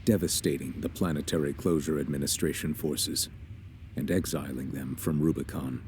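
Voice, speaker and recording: A man narrating as a grave war chronicler in a video game, formal, measured and solemn. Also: very deep voice, fairly even intonation, a little mellow, a little hiss or hum.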